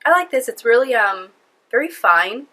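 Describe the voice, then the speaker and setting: A woman's voice: on the high side, big pitch swings, moderate pace, sharp tone. A young woman with a cold-stuffed, nasal voice, chatting casually to camera from home in a rambling vlog full of fillers. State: congested from a cold. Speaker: beauty vlogger. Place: at home indoors.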